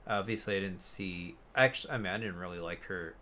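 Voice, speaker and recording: A man co-hosting a casual chat podcast, blunt and quick to swear with the exasperation of a fan. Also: low voice, pushed and bright. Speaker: podcast co-host. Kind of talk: casual chat about films and games.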